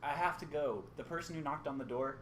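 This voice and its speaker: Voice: medium pitch, fairly quick, lightly acted. An actor playing a grave, worried radio station colleague in a radio play. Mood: urgent. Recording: roomy echo with faint noise.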